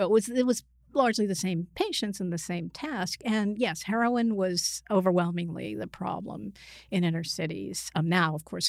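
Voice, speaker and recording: A woman speaking as an expert guest in a conversational interview, explaining things haltingly and often correcting herself. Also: fairly low pitch, vocal fry, no room sound, studio quality.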